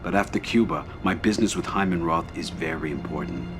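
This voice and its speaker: An actor playing a cold, controlled crime family boss in a video game cutscene, speaking in a measured, commanding way. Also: male voice, low-pitched, natural voice. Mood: firm, controlled. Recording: loud music behind the dialogue.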